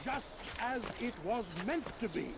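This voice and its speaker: A man's voice: very high voice, lively intonation, unhurried, creaky, noisy background, heavily acted. A man voicing a fervent crusader street preacher, declaiming zealously to rally the faithful in a video game. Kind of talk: public oration in a video game.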